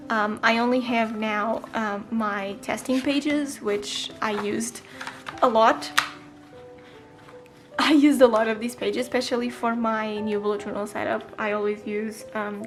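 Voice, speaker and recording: Chatty young woman vlogging over soft music. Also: fairly high pitch. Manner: chatty, frequent ums and self-corrections. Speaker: planner vlogger. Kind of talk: casual voice-over flip-through.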